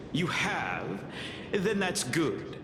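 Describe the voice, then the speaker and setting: A man playing a grandiose, eccentric and sinister ancient alchemist in a fantasy game, with theatrical, pompous, drawn-out delivery. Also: a little high, background noise, heavily acted. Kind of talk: scripted fantasy game voice acting. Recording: echoey hall, music behind.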